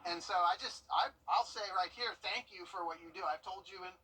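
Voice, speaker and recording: A man's voice: high voice, fairly quick, pushed and bright, recorded from a distance, a little hiss or hum, low-quality recording. Emphatic father in interview. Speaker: parent interviewee. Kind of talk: interview soundbites.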